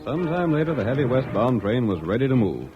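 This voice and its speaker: A man's voice: mid-range voice, big pitch swings, brisk, close to an everyday voice. Dramatic radio narrator, a man, music behind. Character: dramatic announcer narrating a western serial. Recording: muffled old radio broadcast, music behind.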